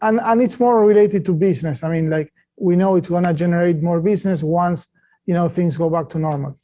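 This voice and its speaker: A man answering as a company executive on an earnings call, speaking haltingly and repeating words. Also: sits high, dark tone.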